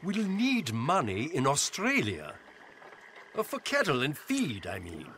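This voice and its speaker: An actor playing a prim, formal older bookkeeper who speaks precisely, with small hesitations, in a video game cutscene. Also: male voice, fairly high pitch, wildly swooping pitch, unhurried, a bit bright, slight background noise, heavily acted. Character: prim, fussy older male money-lender clerk.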